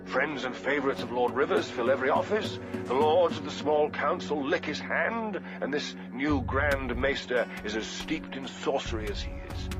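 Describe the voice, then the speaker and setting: A man's voice: medium pitch, voice pushed up, close to an everyday voice. A man voicing a worldly, gossiping septon who drinks, grave and scornful, in an emphatic, archaic audiobook reading. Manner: theatrical, emphatic, archaic phrasing. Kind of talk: dramatic audiobook character reading. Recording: dull lo-fi audio with music behind.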